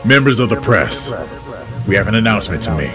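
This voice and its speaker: Male actor as audio-drama police commissioner: authoritative, formal, lowish voice, clear music underneath. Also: big pitch swings, a little fast. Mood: official.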